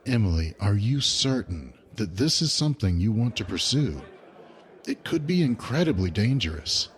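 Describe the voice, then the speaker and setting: A cautious, protective man, expressive intonation. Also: lowish voice, moderate pace. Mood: concerned. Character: September, cautious, protective male ally. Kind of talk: audio drama dialogue.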